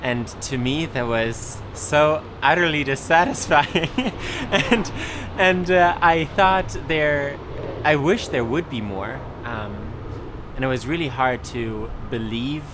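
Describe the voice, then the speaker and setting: A man's voice: fairly high pitch, takes it slowly, noisy background. A young man telling his personal testimony in a casual way, with many fillers and restarts. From Germany.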